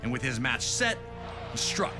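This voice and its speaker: A man's voice: mid-range voice, sing-song, normal speed. A man presenting scripted sports documentary narration as its host, with a storytelling delivery. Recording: music behind voice. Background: music.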